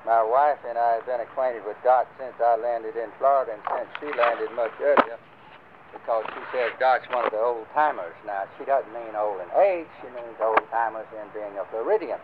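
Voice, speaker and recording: An older man leading a church group, talking casually with many pauses and fillers. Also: medium pitch. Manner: rambling, many fillers and restarts. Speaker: church class leader. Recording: lo-fi phone-like recording with faint hiss.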